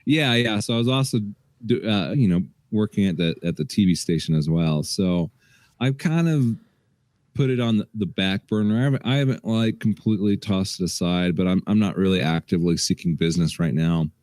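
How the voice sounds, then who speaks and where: Podcast co-host, young man, deep voice, laid-back storytelling, lots of restarts, dry studio sound. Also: a little fast, dry recording. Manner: relaxed, rambling, restarts a lot. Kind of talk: casual chat.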